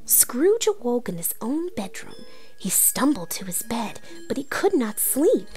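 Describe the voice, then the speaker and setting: A woman's voice: fairly high pitch, big pitch swings, measured pace, darkish tone, soft background music. A woman playing an earnest, theatrical narrator of a storybook read-along. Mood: dramatic, earnest. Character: earnest theatrical storyteller, comic author-narrator persona played female.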